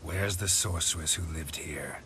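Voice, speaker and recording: An actor playing a stoic, grizzled monster hunter in a fantasy video game, with a gravelly voice and a terse, deadpan delivery. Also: male voice, measured pace, a little hiss or hum, full character voice. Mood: dry, probing. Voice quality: gravelly, husky low voice. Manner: terse, deadpan, clipped questions. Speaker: player character in a fantasy role-playing game.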